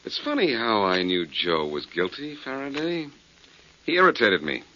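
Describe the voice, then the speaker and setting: A confident, wry detective from old radio crime drama. The voice is lowish and bright, bouncing through lively inflections. It comes on a dull 1940s lo-fi recording. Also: male voice, normal speed, slight background noise, heavily acted. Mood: breezy, pleased. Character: confident, wisecracking male amateur detective.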